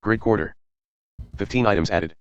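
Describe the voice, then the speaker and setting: A synthetic male screen reader voice giving clipped, mechanical readouts of software status. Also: fairly low pitch, normal speed. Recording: dull audio with loud music.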